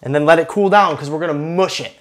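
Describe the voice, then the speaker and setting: A man's voice: a little high, fast talker. Laid-back man cooking in a kitchen. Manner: laid-back, jokey, talks to camera while cooking. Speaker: cooking show host. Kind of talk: casual cooking demonstration. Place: home kitchen, indoors.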